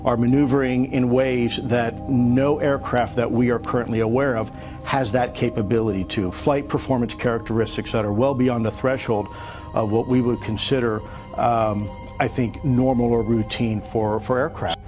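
Guy in a news clip, kinda halting, music under him. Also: mid-range voice, steady tone. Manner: halting, searching for words. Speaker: interviewee.

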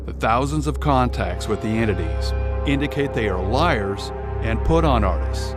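A man in a serious, measured documentary narration. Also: medium pitch, animated, over loud music.

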